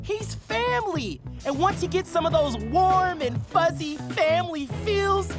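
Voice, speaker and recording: A man voicing a sunny, excitable teenage turtle in a cartoon, upbeat and coaxing, with theatrical flourishes. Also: very high voice, unhurried, music in the background. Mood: cheerful coaxing. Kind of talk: animated cartoon voice acting.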